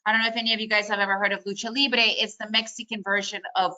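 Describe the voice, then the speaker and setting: A woman's voice: mid-range voice, little pitch movement, racing, pushed and bright, lo-fi sound. A woman, a native Russian speaker who grew up in the United States, leading a trading webinar in a chatty, upbeat way with lots of "you know" and "right?". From Soviet Union, raised in the United States. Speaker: day trading educator hosting a webinar. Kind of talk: casual webinar talk.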